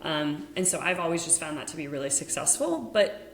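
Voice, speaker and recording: Podcast host: young woman, low pitch, frank and direct, echoey room with faint hiss. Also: very animated, fairly quick. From United States. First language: Dutch. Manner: frank, direct, many "like" fillers. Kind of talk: casual podcast conversation.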